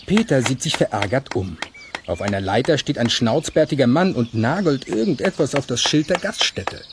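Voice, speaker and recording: Faint background noise accompanies a man as a storybook narrator with a medium-pitched voice. He reads scripted children's radio play narration in a calm, measured, gently wry way. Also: natural voice.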